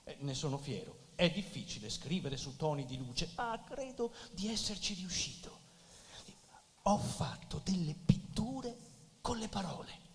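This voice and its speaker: An actor playing a gentle, dreamy, melancholy clerk in a literary stage play, savouring his words in earnest, lyrical phrases. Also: a little high, lively intonation, unhurried, slight background noise. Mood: proud, wistful. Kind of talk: literary stage monologue and dialogue. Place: theatre stage or hall. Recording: reverberant theatre hall.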